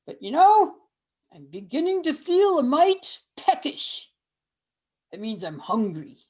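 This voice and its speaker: A woman's voice: sits high, very animated, takes it slowly, warm tone, heavily acted. A woman voicing a grumpy, hungry male troll who lives under a bridge, with a folksy, chuckling drawl. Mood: grumbling. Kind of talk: storytelling with voices.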